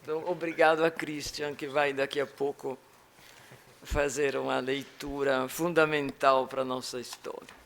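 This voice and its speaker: A man lecturing in an academic talk with an Italian-tinged Rio accent, pausing often and restarting his phrases. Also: medium pitch, flat delivery, vocal fry, a bit bright, slight background noise. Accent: Italian-tinged Rio Portuguese. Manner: halting, with frequent restarts and fillers. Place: lecture hall.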